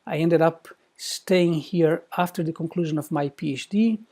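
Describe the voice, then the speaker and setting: Mellow middle-aged man. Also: on the high side. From Brazil. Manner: measured, formal, articulate. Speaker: university professor, guest speaker. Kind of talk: prepared recorded talk.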